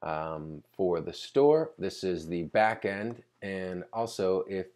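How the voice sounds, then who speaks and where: A man giving a casual, unscripted screen-recording tutorial, explaining conversationally and pausing mid-sentence to think. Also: low-pitched, darkish tone. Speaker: tutorial presenter.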